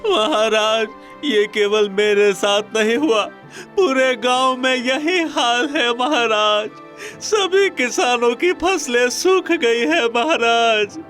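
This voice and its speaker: A man's voice: very high-pitched, lively intonation, slow, a bit bright, soft background music, exaggerated voice. A man playing a distressed village farmer in a cartoon folk tale, pleading plaintively. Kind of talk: dramatised cartoon storybook dialogue.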